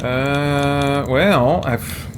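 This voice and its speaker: A man's voice: medium pitch, very slow. Man thinking aloud over noisy game commentary. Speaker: gaming show host. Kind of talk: casual let's play commentary. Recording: clearly noisy background ambience.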